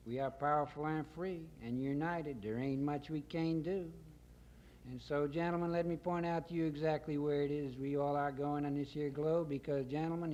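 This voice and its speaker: A man's voice: fairly high pitch, lo-fi sound, lightly acted. A man doing a pompous folksy president. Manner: folksy, oratorical, pompous speechmaking. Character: pompous folksy male Texan president, self-assured warmonger. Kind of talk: satirical poetry reading.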